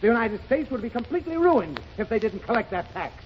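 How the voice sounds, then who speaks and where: A man's voice: high voice, very animated, fast. A man playing a flustered, exasperated father trying to do his taxes, in 1930s radio comedy. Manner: flustered, theatrically exasperated, sputtering. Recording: dull 1930s radio broadcast with hiss and studio audience.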